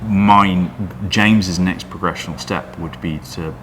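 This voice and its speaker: Deep-voiced man, dry and halting. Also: fairly even intonation. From Wales. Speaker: coffee roaster and business owner. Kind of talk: casual interview chat. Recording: noisy room ambience.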